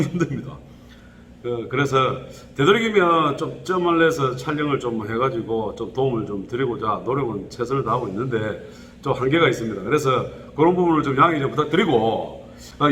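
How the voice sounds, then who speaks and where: A middle-aged man speaking with a regional Korean dialect, giving casual spoken welding instruction in a rambling, animated way full of fillers. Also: long reverb tail, a little hiss or hum. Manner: animated, rambling, with frequent fillers and pitch jumps.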